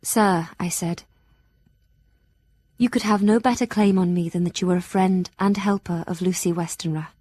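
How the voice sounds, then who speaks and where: An actress playing an earnest, anxious young Victorian gentlewoman in an audio drama, narrating her journal in a formal, heartfelt, measured way. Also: on the low side, steady tone, no room sound, clean studio sound, close to an everyday voice.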